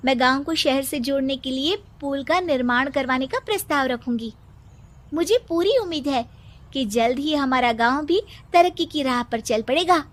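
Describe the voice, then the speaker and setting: A woman's voice: high-pitched, moderate pace, long pauses, natural voice. A woman voicing an earnest, hopeful young village student in a cartoon, eager, polite and innocent. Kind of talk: Hindi cartoon character acting.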